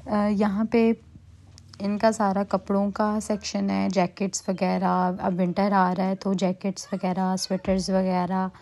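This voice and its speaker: A woman's voice: mid-range voice, monotone, measured pace, dark tone. A young adult woman narrating a shopping vlog as a casual voice-over, chatty and listing items with frequent fillers. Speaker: vlogger. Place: quiet indoor room, voice-over.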